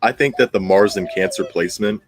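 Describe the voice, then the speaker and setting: Low-pitched man giving blunt commentary. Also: a little fast, over music. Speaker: host of an astrology commentary channel. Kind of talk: astrology commentary, part read, part ad-libbed.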